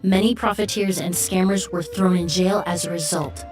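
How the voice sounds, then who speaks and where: A woman playing a courteous, serene young perfumer in an anime game, speaking in a measured, refined and polite manner. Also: fairly low pitch, fairly even intonation, distant mic, over music, close to an everyday voice. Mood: measured explaining. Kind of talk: anime game story dialogue.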